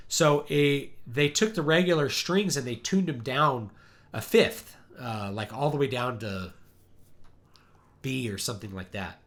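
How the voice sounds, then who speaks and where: A man hosting a casual chat, talking in a rambling, animated way and acting out quotes, his deep voice worn because he is sick. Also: a little slow. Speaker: livestream host. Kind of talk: casual chat about music.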